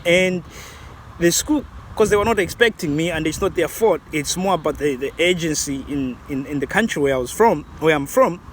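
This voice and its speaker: A man in his late thirties with a fairly high-pitched voice. He muses in a loose, reflective way, circling back and restarting his thoughts. Faint traffic hums behind him outdoors. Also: expressive, moderate pace. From Zambia. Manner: reflective, rambling, many restarts. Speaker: vlogger. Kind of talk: casual vlog commentary.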